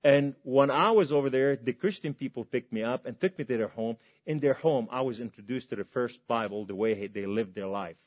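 A man from Lebanon preaching a testimony sermon to a large hall, impassioned and swinging between loud and hushed. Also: mid-range voice, a little fast, hardly any pauses.

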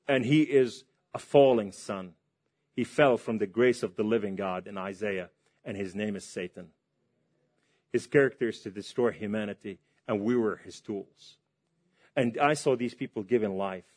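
A man from Lebanon preaching a testimony sermon to a large hall, impassioned and swinging between loud and hushed. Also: lowish voice, moderate pace.

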